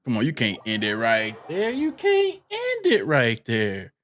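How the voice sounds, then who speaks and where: A man's voice: medium pitch, wildly swooping pitch, measured pace, fairly bright tone, a little hiss or hum. A man doing casual gaming reaction commentary in a slangy, exclamatory way, throwing in short interjections. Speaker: gaming streamer reacting to a game. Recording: game music behind the voice. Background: video game music.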